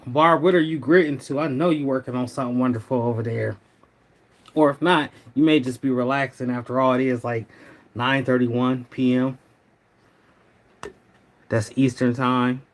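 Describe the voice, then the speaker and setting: Middle-aged man, livestream host, slightly hoarse, relaxed and rambling, chats with viewers. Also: medium pitch. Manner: laid-back, rambling, talks to viewers. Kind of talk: casual livestream chat.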